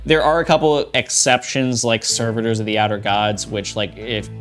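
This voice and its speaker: A man's voice: on the low side, little pitch movement, normal speed, a bit bright, faint music behind. A man hosting a casual tabletop game explainer, irreverent and sweary, with conspiratorial asides. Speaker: host of a tabletop RPG advice show.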